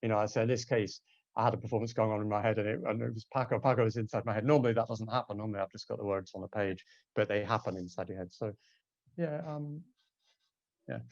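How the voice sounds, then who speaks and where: A man's voice: fairly low pitch, quick pace, a little mellow, dry recording, dull audio. A man speaking as a translator in a panel discussion, musing and rephrasing as he thinks.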